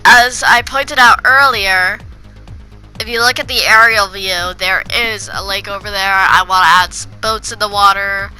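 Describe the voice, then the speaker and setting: A woman with a cold gives loose, rambling commentary over her building game. Her voice is very bright and a little congested. Faint music plays softly underneath. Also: mid-range voice, fairly even intonation, a little slow, rough recording. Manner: rambling, with frequent restarts and filler. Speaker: gaming video creator. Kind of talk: casual voice-over commentary on gameplay.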